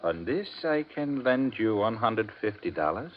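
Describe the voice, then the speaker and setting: Husky, unyielding old-radio pawnbroker. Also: male voice, lowish voice, big pitch swings, moderate pace. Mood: unyielding, reserved. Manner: formal, clipped phrasing. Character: terse, unyielding male pawnbroker. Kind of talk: 1950s radio drama acting. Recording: dull, quiet 1950s radio recording.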